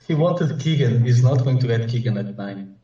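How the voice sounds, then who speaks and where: A man with a medium-pitched voice talks sports casually, with a conversational, wry manner. He sounds a bit far from the mic in a roomy, dull recording. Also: lively intonation, normal speed, noisy background. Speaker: podcast panellist.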